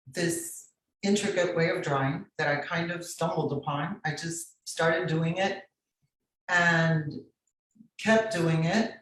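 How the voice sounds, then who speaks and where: A woman from Miami recounts her path as an artist in an interview. She speaks slowly and deliberately. Her voice comes from some distance, wrapped in strong room echo. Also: lowish voice, a little hiss or hum. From Miami, Florida. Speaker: guest artist. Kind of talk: personal interview narrative. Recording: very echoey room, distant from microphone.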